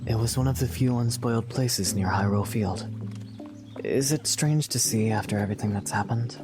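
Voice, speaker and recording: A man playing a calm, kind young knight in an audio drama, reassuring and forgiving. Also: on the low side, normal speed, over music, natural voice. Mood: calm warmth.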